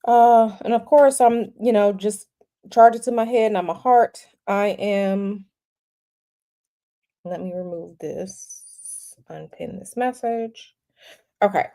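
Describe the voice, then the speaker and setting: Slow, halting woman tarot reader. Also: mid-range voice, dark tone. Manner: slow, repetitive, halting with fillers. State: sniffly from a cold. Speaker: tarot reader hosting a livestream. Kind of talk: casual livestream chat.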